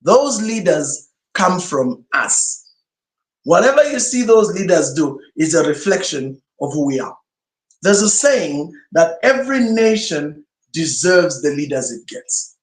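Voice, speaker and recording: A man's voice: on the high side, big pitch swings, measured pace. A male pastor whose first language is Swahili, giving an emphatic sermon-style teaching talk full of rhetorical questions. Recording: roomy echo.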